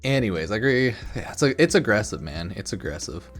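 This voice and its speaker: A man's voice: fairly low pitch, expressive, fairly quick, fairly bright tone. A man giving casual, rambling opinion commentary as a gaming content creator, informal and sweary, sometimes reading comments aloud. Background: music in the background.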